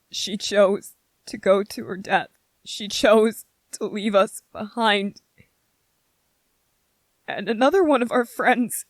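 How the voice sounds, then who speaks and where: Someone acting a gentle young soldier guy grieving, asmr roleplay, real slow and halting, choked up, dry close sound. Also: medium pitch, dry recording. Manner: halting, emotional, earnest, short broken phrases. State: emotional, close to tears. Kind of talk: ASMR roleplay, intimate emotional monologue to listener.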